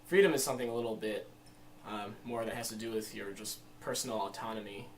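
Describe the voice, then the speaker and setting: Man, early twenties, American, lowish pitch, rambling and reflective, informal interview, distant mic. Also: quick pace. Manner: rambling, hesitant, reflective, peppered with "you know". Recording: distant mic, faint room noise.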